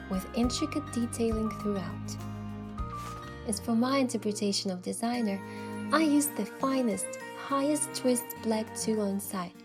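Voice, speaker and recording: A young woman playing a sincere, sensitive heroine who narrates her story in a game, earnest and careful, with music behind her. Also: a little high. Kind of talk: scripted first-person game narration.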